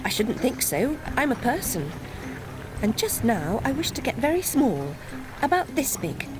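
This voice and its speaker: A young video game heroine, composed and morose, with a prim, dry tongue. Her voice sits slightly high and moves widely in pitch. Music plays behind her. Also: sing-song, unhurried, rough recording. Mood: prim, matter-of-fact. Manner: prim, formal, dry phrasing. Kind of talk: video game character acting.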